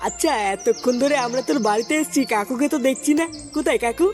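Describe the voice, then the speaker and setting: A woman's voice: sits high, fast talker, darkish tone, lightly acted. A woman voicing an earnest, concerned young male friend in a comic cartoon. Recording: faint music behind the voice.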